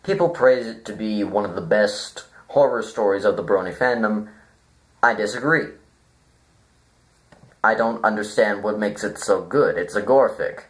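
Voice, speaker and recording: A man giving an informal, rambling critique to viewers, deadpan and self-deprecating, halting as he thinks aloud. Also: on the low side. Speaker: video reviewer.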